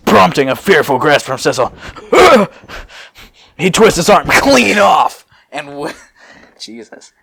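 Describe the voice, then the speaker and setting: Gravelly man reading a story aloud. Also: mid-range voice, a bit bright. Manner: jokey, laughs at the text. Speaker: host and narrator. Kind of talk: amateur dramatic story reading with casual asides.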